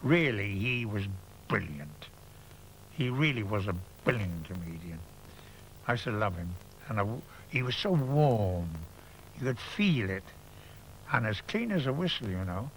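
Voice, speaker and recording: A man's voice: on the low side, very animated, a little slow, a bit bright, rough recording. Guy remembering old dames, fond and emphatic, bit of room hiss. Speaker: interviewee, theatre insider. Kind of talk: reminiscing interview.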